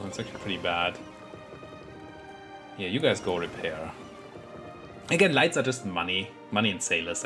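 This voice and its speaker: Hoarse low-voiced man muttering gameplay thoughts. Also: a bit bright. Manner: muttering thoughts aloud, self-talk with fillers and restarts. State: has a cold, voice a bit hoarse. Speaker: let's play gaming commentator. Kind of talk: casual live gameplay commentary.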